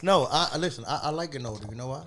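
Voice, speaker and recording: A man in his thirties co-hosting a casual podcast chat, animated and laughing often, stumbling over restarts, with some phlegm in his throat. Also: a little high, racing, noisy background. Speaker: podcast co-host.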